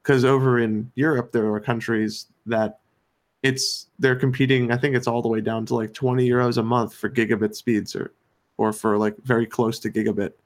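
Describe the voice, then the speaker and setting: An American man co-hosting a podcast, giving his opinions in an earnest, somewhat halting explanatory way. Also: medium pitch, little pitch movement. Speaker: podcast co-host.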